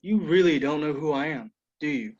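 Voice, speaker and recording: A man's voice: a little high, animated, a little fast, darkish tone, lightly acted. A man playing an uneasy, frustrated young son in a screenplay table read over a video call. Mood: frustrated, pleading. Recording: muffled, roomy video call audio.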